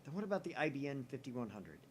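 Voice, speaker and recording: A man's voice: mid-range voice, sing-song, fast, slight background noise, voice pushed up, lightly acted. A man voicing a theatrical, self-styled young male mad scientist who talks in a dramatic, secretive way. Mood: eager.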